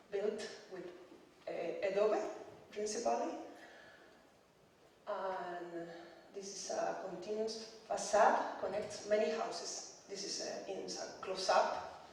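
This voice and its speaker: A woman's voice: mid-range voice, darkish tone, slight background noise. A woman in her thirties with a Chilean Spanish accent, Spanish her first language, giving a conference talk in careful, hesitant English with many fillers and small laughs. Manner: hesitant, many fillers, nervous laughs, checks audience understands. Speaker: conference speaker. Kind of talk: conference presentation. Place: dark conference stage. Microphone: lectern mic from far off. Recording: distant echoey hall recording.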